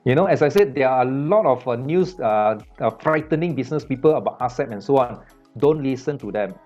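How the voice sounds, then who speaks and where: A man from Malaysia speaks casually on a business panel, at an ordinary pitch. He often stalls on repeated words and fills the gaps with "uh". The sound is dull and lo-fi, with faint music in the background. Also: animated, a little mellow. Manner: halting, many repeated words and fillers.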